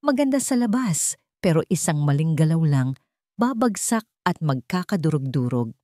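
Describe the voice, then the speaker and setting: A woman voicing a wounded, wistful young businessman in a storybook drama, speaking softly and confidingly. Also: low-pitched, big pitch swings, normal speed, no room sound, studio quality. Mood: wistful, broken. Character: wealthy young businessman, wounded, wistful, bitter about duty.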